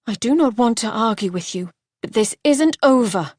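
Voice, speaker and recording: A woman's voice: on the high side, very animated, a little slow, a little mellow, dry recording, studio quality, pitched above the performer's own voice. A woman voicing a passionate, fiery young wife who speaks in a raised, urgent voice. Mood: anguished.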